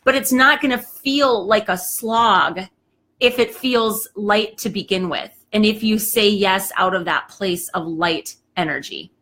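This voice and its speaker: A woman's voice: mid-range voice, a little slow, bright, forceful tone. Chatty woman giving a motivational talk. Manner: chatty and emphatic, says "okay" a lot. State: slightly hoarse, clearing throat. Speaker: host of a live talk show.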